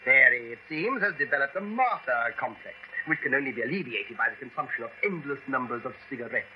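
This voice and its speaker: A man's voice: medium pitch, animated, fast talker, natural voice. A man playing a peevish, pedantic older husband in a radio drama, sarcastic and clipped, on a muffled, noisy vintage recording. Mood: scornful.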